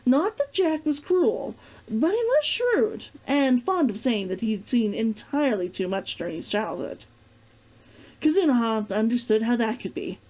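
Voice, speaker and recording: A woman reading fiction aloud as an expressive storybook narrator. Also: fairly high pitch, unhurried, poor audio. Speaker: reader of a fiction read-along.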